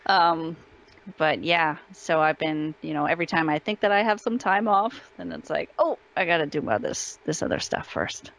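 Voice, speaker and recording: A woman's voice: low voice, moderate pace, gravelly, a bit bright, low-quality recording. A woman who works as a voice actress, chatting casually as an interview guest.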